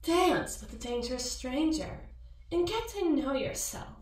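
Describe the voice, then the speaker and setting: Echoey, slow-taunting mirror double played by a woman. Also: high-pitched, expressive, darkish tone. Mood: coaxing, cutting. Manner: mocking, cooing, drawn-out teasing. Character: taunting female mirror alter ego, sly and seductive. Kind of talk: ASMR roleplay acting. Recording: echoey, distant-sounding voice.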